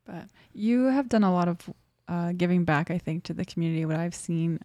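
A woman hosting an interview, asking long rambling questions that trail off softly. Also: lowish voice, a little fast, no room sound, clean studio sound. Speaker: podcast host interviewer. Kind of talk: warm conversational interviewing.

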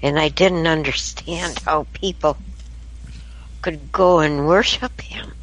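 A woman on a casual podcast chat, speaking in a measured, reflective way. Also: low voice, steady tone, rough recording.

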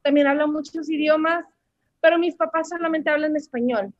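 Young Mexican woman, high voice, chatty guest, dry dull lo-fi sound. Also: moderate pace. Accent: Mexican Spanish. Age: 20s. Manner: chatty, storytelling, many fillers. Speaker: language teacher, guest. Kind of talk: casual interview answers.

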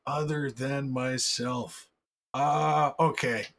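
A man's voice: medium pitch, fairly even intonation, takes it slowly, vocal fry, a bit bright. A man riffing playfully and profanely in casual banter with friends. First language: English.

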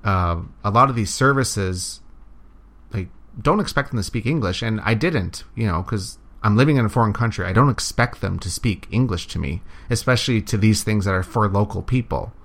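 A man from Canada telling stories in a relaxed, casual chat, laughing now and then. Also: on the low side, moderate pace.

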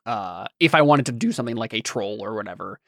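A man's voice: on the low side, expressive, fast, vocal fry, no room sound, clean studio sound. A man talking informally in a podcast conversation. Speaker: podcast speaker on tabletop role-playing. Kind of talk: casual chat.

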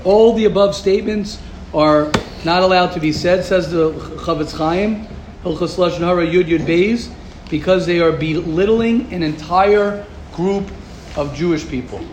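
A man's voice: high voice, unhurried. Guy teaching a torah class, acts out quotes, echoey room w/ background noise. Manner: animated, acts out quoted gossip, repeats words. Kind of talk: classroom lesson with questions. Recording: echoey room, noisy ambience.

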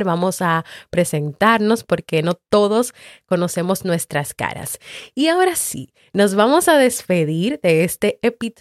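A woman hosts her own podcast and thanks her listeners with unhurried gratitude. Her voice sits at a middle pitch and sounds close and dry, with no room around it. She speaks gently and sincerely. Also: no room sound. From Dominican Republic. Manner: warm, grateful, unhurried. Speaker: podcast host. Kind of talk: heartfelt thank-you to listeners.

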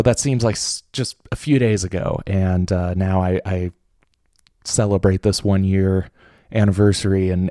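Low mellow guy, late 20s, podcast host, rambly with lots of uhs and restarts, a bit jittery. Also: no echo, clean studio sound. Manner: rambling, many fillers and restarts. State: jittery from coffee. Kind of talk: casual solo podcast talk.

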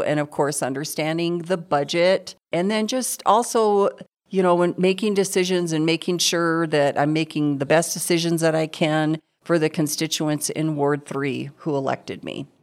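A woman in her sixties from South Dakota, a city council member answering interview questions in a friendly, chatty way. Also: sits low. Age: 60s. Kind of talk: conversational interview.

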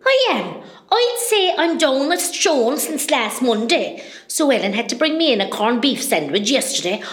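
A woman's voice: high voice, sing-song, moderate pace, echoey room. A woman playing a cheeky, bawdy older mother in hospital in an amateur radio comedy, with a West Cork Irish accent and a theatrical, gossipy manner. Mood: indignant, chatty.

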